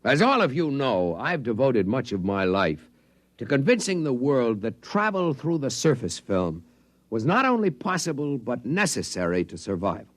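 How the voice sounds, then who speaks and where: An actor playing a devoted visionary scientist in 1950s radio drama style, earnest and declamatory. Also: male voice, mid-range voice, big pitch swings, moderate pace, no room sound. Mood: solemn, determined. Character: devoted visionary male scientist of a tiny underwater race.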